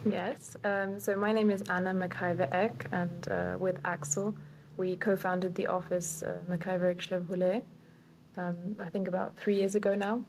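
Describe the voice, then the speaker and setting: A woman in her thirties from Sweden, an architect, answering podcast questions calmly and hesitantly with many fillers. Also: fairly low pitch, moderate pace, a little hiss or hum, poor audio. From Sweden, raised in several countries. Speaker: architect, guest.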